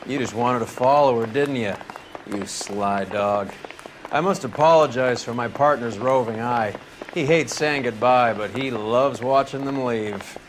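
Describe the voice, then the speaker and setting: An actor playing a brash, cynical American police detective in a game, mocking and sardonic. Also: male voice, medium pitch, a little slow, a faint crowd, slight background noise, close to an everyday voice. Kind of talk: dramatic game dialogue.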